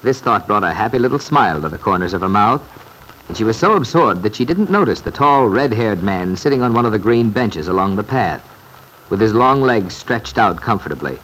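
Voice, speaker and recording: Animated old-time radio narrator, male. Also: lowish voice, a little fast. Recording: noisy vintage radio recording.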